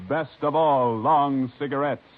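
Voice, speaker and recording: A man announcing in a formal, measured scripted style for a 1950s radio broadcast. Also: mid-range voice, fairly even intonation. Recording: muffled vintage radio broadcast.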